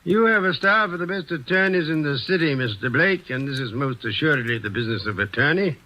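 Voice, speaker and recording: A pompous, fussy genius detective for a 1950s radio play. His voice sits a little high and rings bright and tense. He speaks in curt, imperious commands. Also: animated, brisk, rough recording. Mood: measured. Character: fussy, heavy, lazy genius male detective. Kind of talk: 1950s radio drama acting.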